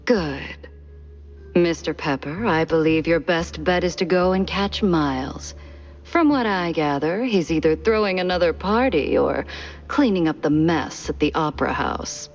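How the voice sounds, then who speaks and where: Low, slow, languid socialite. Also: female voice, animated, dull audio, faint music behind, full character voice. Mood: sly, advising. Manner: drawling, affected, refined. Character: languid, world-weary socialite woman, sly and elegant. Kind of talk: noir cartoon game acting.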